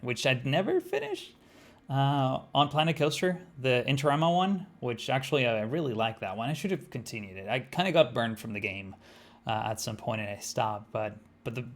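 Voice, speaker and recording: A young man from Argentina with an Argentine Spanish accent, live-streaming a game and chatting casually with viewers, thinking aloud with many fillers. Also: medium pitch. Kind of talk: live-streamed gameplay chat.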